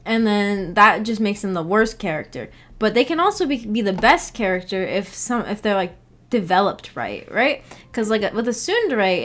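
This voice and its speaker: A woman's voice: mid-range voice, fairly bright tone. A woman streamer chatting casually with viewers, reading out comments and rambling as she thinks aloud in a giggly way. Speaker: streamer, book club host. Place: indoor home streaming setup.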